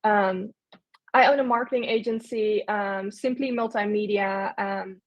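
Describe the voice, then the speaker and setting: A woman's voice: medium pitch, fairly even intonation, unhurried. A young woman from the Netherlands, chatting casually as an interview guest, with lots of fillers and restarts. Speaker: guest, agency owner.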